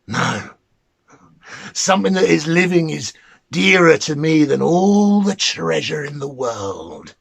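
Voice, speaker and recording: A spiteful little mannikin from a fairy tale. The voice is husky and swings widely in pitch. Words are stretched out slowly with gloating menace. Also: male voice, sing-song, full character voice. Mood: sly, bargaining.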